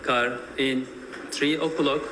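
A young man from Turkey with a Turkish accent, a Turkish native speaking halting English with many fillers before a judge. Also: mid-range voice. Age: young adult. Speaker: student in court. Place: courtroom. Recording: reverberant courtroom with steady hiss.

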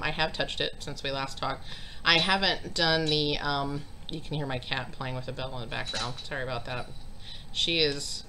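A woman's voice: sits low, bright, forceful tone, slight background noise. A woman in her thirties chatting casually to a webcam at home about her stitching, rambling with lots of ums, sounding congested. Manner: rambling, chatty, frequent ums and self-deprecating laughs. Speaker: cross stitch vlogger. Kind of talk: casual chat to camera. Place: home craft room, indoors.

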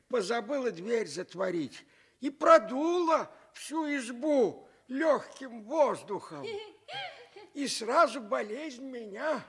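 A man voicing a doting, frail old village grandfather in a Soviet radio story, speaking in a folksy way with a husky old voice. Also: a bit bright, exaggerated voice. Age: older. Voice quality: creaky, husky, high-pitched old voice. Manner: slow, folksy, chuckling exclamations.

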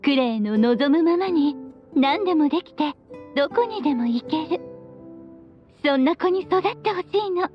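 A woman's voice: high voice, animated, normal speed, lo-fi sound, soft background music. A woman playing a playful, free-spirited witch mother in a Japanese anime game, teasing and affectionate.

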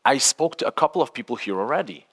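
A man from Poland speaks with a Polish accent, giving a conversational, high-energy workshop talk to a seated audience. His voice is bright and his pitch swings widely. It rings out with the long reverb of a large conference hall. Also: quick pace, breathy edge. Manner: energetic, conversational, frequent "right?" tags.

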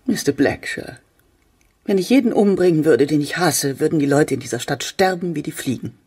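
Husky, bitter middle-aged mother. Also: lively intonation, fairly quick, dark tone. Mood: bitter, scornful. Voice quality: husky, low-pitched. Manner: cutting, scornful, dramatic. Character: bitter, cold-hearted grieving mother, full of hatred. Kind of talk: radio play acting.

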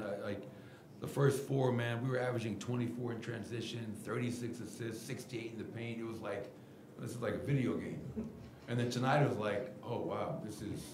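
A middle-aged man, a basketball head coach, answering reporters' questions at a post-game press conference podium with a table mic, candid and animated. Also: on the low side, reverberant, noisy background. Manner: candid, animated, gestures while explaining. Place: press room at team podium. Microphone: table mic in front of him.

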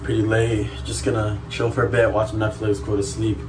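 A man's voice: fairly low pitch, level tone, normal speed. A man in his twenties chatting casually and slangily to camera as a vlogger, in a laid-back manner. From South Sudan or Canada. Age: 20s. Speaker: vlogger, college soccer player. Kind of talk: casual vlog chat to camera. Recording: noisy outdoor and room vlog audio.

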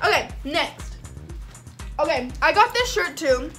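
A young woman with an American accent talks in a high voice. She is chatty and slangy, as if showing clothes to friends on a vlog. Music plays clearly behind her. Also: big pitch swings, unhurried, a bit bright. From lives in Las Vegas, United States. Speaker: video blogger. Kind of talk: casual chat, clothing haul vlog. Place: small bedroom, indoors. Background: background music.

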